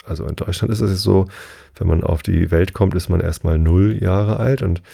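A man in his forties talks through a relaxed solo podcast episode, wandering from point to point with plenty of fillers and restarts. His voice sits low and dark. It has a husky, gravelly edge. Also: normal speed. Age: 40s. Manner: chatty, rambling, many fillers and self-corrections. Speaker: podcast host. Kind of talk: casual solo podcast monologue.

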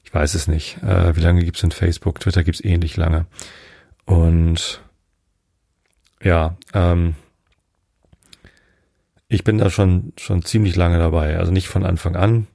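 A man from Germany, hosting a bedtime podcast in a casual, unhurried, meandering monologue, thinking aloud. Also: low voice, little pitch movement, gravelly, dark tone, no room sound, clean studio sound. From northern Germany. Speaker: podcast host.

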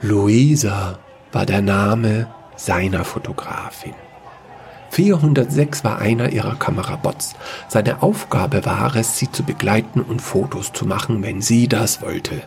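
A man narrating a sci-fi fairy-tale audio story in a measured storytelling manner. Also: lowish voice, gravelly, darkish tone, soft background music.

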